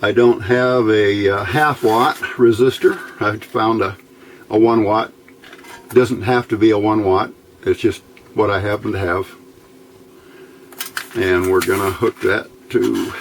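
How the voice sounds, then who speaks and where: A man giving an unscripted hands-on technical tutorial in a matter-of-fact, halting, step-by-step way. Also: fairly low pitch, rough recording. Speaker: host of an electronics build tutorial. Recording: clear background noise, room ambience.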